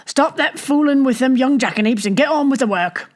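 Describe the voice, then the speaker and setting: A woman's voice: on the high side, fast, darkish tone, a little hiss or hum, natural voice. Woman doing a bluff old-country innkeeper. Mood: scolding. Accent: rural English dialect. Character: bluff good-humoured middle-aged male innkeeper.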